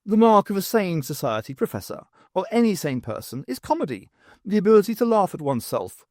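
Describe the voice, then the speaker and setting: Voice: mid-range voice, big pitch swings, brisk, darkish tone, no echo, studio quality, pitched above the performer's own voice, lightly acted. A narrator voicing an opinionated male literature professor with an emphatic, lecturing manner in an audiobook. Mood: emphatic, enthusiastic. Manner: emphatic, didactic.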